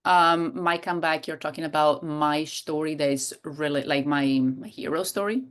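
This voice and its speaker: Low-voiced Italian-accented woman. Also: normal speed, smooth. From Italy. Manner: expressive, searches for words and restarts. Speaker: guest, coach and hypnotherapist. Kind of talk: casual interview conversation.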